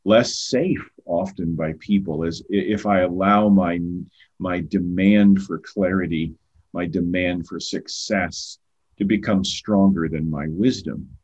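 A man's voice: low-pitched, measured pace, husky. An older man talking earnestly as a podcast guest, often repeating words as he starts a thought. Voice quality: loud voice. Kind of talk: conversational podcast talk. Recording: dull lo-fi sound.

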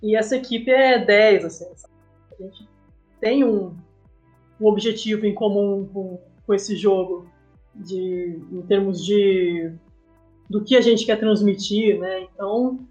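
A woman's voice: medium pitch, steady tone, takes it slowly, a little mellow, dull audio, soft background music. A woman with a Brazilian accent speaking casually as a guest in a podcast interview, often checking for agreement with tag questions. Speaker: guest, comic artist and game writer.

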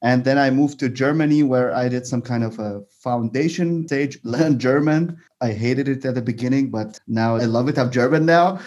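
A man from Iraq, a radiologist, answering interview questions in a friendly, slightly halting way and sometimes repeating words. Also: mid-range voice, darkish tone. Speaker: guest, radiologist.

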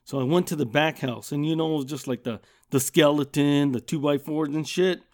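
Loud profane man telling a story. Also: a little high, a little fast. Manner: profane, loud and animated, laughs often. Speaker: podcast host. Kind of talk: casual comedic storytelling.

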